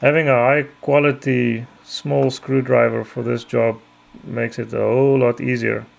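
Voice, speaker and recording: A middle-aged man whose first language is Afrikaans, narrating a repair tutorial in a measured, careful way with frequent ums. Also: mid-range voice, level tone, vocal fry. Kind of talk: explanatory voice-over.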